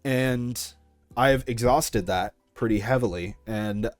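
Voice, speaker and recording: A man's voice: mid-range voice, slow, no echo, soft background music. A man in his twenties answering interview questions about his creative work in a casual way, thinking aloud as he goes. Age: 20s. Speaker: creator being interviewed about an audio project.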